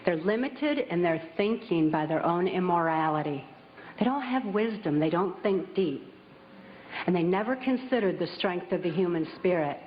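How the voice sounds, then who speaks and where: A woman giving a public speech as testimony, speaking in a deliberate, emphatic way. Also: lowish voice, animated, slight background noise. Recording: dull recording with long hall reverb.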